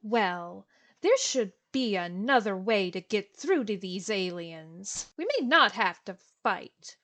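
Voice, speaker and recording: Actress as gentle mother, slow delivery, soothing, affectionate, audio drama. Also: medium pitch, lively intonation. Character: gentle, unhurried, peace-minded mother.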